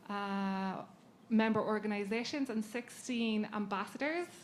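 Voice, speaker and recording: Irish woman, conference panelist, quick fluent delivery with ums, slight hiss behind her. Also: mid-range voice. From Ireland. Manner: brisk, fluent, frequent ums. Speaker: patient advocacy panelist. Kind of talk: panel discussion talk. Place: conference session.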